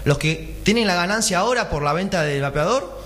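A man's voice: high voice, lively intonation, fairly quick, fairly bright tone. A man, a pharmacist giving a radio health column, explaining step by step and asking rhetorical questions.

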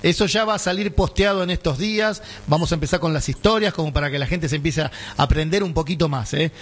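A man's voice: on the high side, fast talker, breathy edge. A man with an Argentine accent hosting a radio show, chatting casually with many fillers and tag questions. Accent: Argentine Spanish. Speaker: radio host.

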